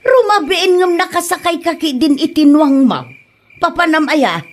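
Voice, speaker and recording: A woman's voice: very high voice, animated, moderate pace. A woman with an Ilocano accent playing a nosy village woman, questioning and teasing, in a comedy audio drama. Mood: mocking, amused.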